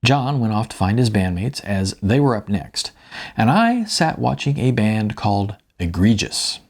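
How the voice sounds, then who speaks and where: A man's voice: lowish voice, sing-song, unhurried. A man reading his own novel aloud in a wry, conversational storytelling manner. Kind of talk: audiobook narration with spoken asides.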